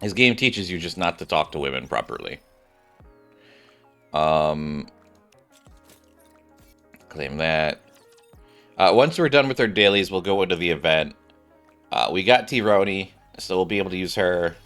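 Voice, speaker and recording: A man's voice: low voice, animated, unhurried, pauses often, fairly bright tone, faint music behind. A man streaming a video game, giving casual, rambling commentary as he reacts aloud.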